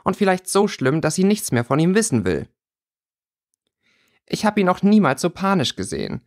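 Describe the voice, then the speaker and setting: A man's voice: high-pitched, very animated, normal speed, voice pushed up. A man voicing a blunt, angry schoolboy in a storybook reading, scolding emphatically. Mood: reproachful.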